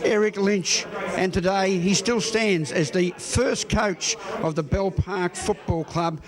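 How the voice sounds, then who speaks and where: High-pitched Australian male reporter, crowd noise. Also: takes it slowly. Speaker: sports reporter, interviewer. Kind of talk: local TV sports interview.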